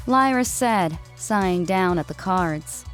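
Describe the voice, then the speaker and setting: A woman's voice: fairly low pitch, lively intonation, takes it slowly, faint music behind. A woman reading a scripted story as its narrator.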